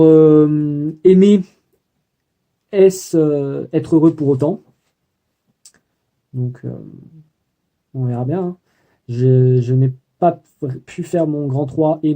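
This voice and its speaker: A young man recaps his day for a video diary, his voice very dark and mellow and sitting a touch high. He talks hesitantly, filling pauses and correcting himself as he goes. The delivery stays casual and personal. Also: fairly even intonation. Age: young adult. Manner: hesitant, many fillers and self-corrections. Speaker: student vlogger.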